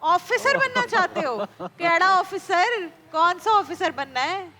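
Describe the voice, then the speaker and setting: A woman's voice: very high voice, expressive, slow, long reverb tail, music in the background. A woman with a Pakistani accent hosting a television show, chatting playfully and unscripted with children, teasing and encouraging them. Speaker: TV show host. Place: TV studio.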